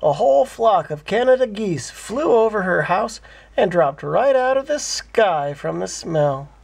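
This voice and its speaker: A man reading a children's bedtime story aloud as a father, in an expressive, playful way. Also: high voice, measured pace, creaky.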